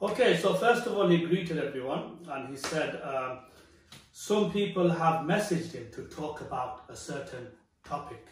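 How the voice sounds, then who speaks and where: Male vlogger, earnest reaction talk, slightly high voice, roomy and off-mic. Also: animated, far from the mic, a little hiss or hum. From Bangladesh. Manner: earnest, chatty, pauses with fillers. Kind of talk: casual reaction commentary.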